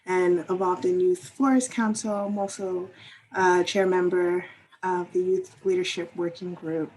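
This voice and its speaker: A woman's voice: lowish voice, fairly even intonation, a little slow, a little mellow, slight background noise, poor audio. A woman in her thirties giving a friendly, loose self-introduction on a video call. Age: 30s. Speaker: city youth programs worker.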